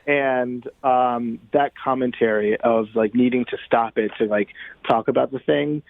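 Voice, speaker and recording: A man's voice: medium pitch, fairly even intonation, measured pace, gravelly, dry recording. A man being interviewed as a playwright and director, talking informally and thinking aloud, on slightly muffled audio. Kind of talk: conversational radio interview.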